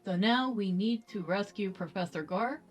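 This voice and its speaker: A woman's voice: medium pitch, moderate pace, soft background music. A woman hosting a casual let's play, thinking aloud playfully as she goes. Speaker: gaming video host.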